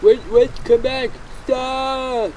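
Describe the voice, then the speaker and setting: A man voicing a naive, excitable male alien warrior in a game machinima, talking in a childlike, goofy way. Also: very high-pitched, extremely slow, slight background noise, heavily acted. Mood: panicked. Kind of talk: amateur game machinima acting.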